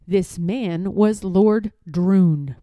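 A woman reading a children's storybook aloud as a storyteller, expressively and with deliberate, dramatic pauses. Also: medium pitch, no echo, dull audio.

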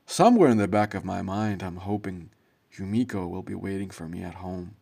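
A man's voice: deep voice, fairly quick, gravelly, dark tone. A man voicing a heartbroken, kindly, easily startled young man in a dramatic manga dub. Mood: gloomy, brooding.

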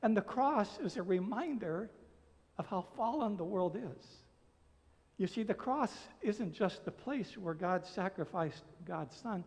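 An American man in his sixties preaching a sermon as a pastor, earnest and emphatic, phrasing deliberately with pauses. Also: high voice, lively intonation, a little mellow. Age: 60s. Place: church sanctuary, at a pulpit. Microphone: gooseneck pulpit microphone. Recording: reverberant church hall.

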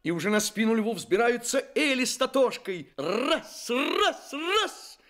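A man's voice: very high-pitched, very animated, measured pace, a bit bright, exaggerated voice. A man playing an engaging fairy-tale narrator, addressing listeners expressively in a children's radio play. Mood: excited.

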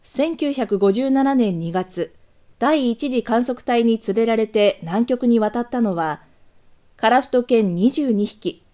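Dark-toned, high-pitched woman news narrator. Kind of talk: scripted documentary news narration.

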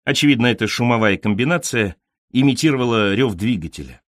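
Man narrating an audiobook, very lively intonation. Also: mid-range voice, a little fast, dry recording, studio quality. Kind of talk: scripted literary narration.

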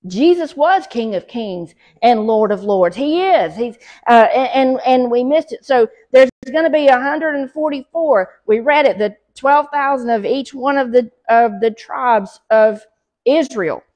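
A middle-aged Southern woman leads a church Bible study at an unhurried pace. Her voice sits a little high, and she reads scripture aloud before explaining it with pauses and fillers. She is picked up by a camera across a small fellowship hall. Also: darkish tone, dull audio. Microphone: far camera.

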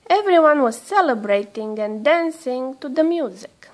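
A woman reading a children's storybook aloud in an expressive, measured storytelling manner. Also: sits high. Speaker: storybook reader.

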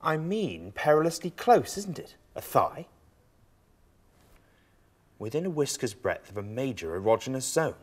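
A man acting an arch, mock-delicate interrogator in a comic stage monologue, asking probing, drawn-out questions. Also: mid-range voice, big pitch swings.

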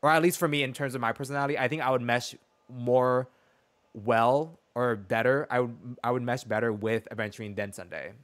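A man, chatting casually as a game streamer in an expressive, sassy, slangy way. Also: medium pitch, brisk. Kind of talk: casual chat and reaction to a story game. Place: small dim room indoors.

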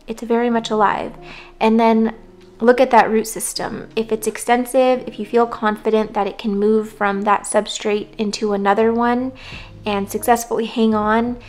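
A hoarse woman casually talking through plant care tips, relaxed and rambling. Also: fairly high pitch. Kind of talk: casual voice-over talk.

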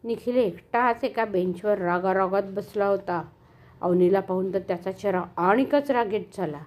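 An older woman narrating a children's bedtime story in an expressive, animated way. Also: mid-range voice, moderate pace.

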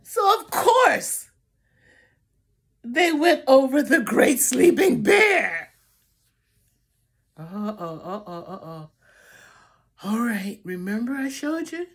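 A woman's voice: a little high, very animated, slow, long pauses, husky. An older woman reading a picture book aloud to children, theatrically drawing out phrases and adding asides to the listeners. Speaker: storybook reader. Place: small room at home, indoors.

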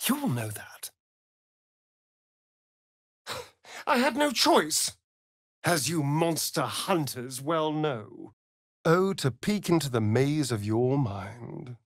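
An actor playing a sly, vain, sardonic vampire rogue in a video game, with an arch, theatrical drawl. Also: male voice, fairly high pitch, big pitch swings, slow pace, long pauses, no room sound, studio quality, exaggerated voice. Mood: bitter. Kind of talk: video game character voice lines.

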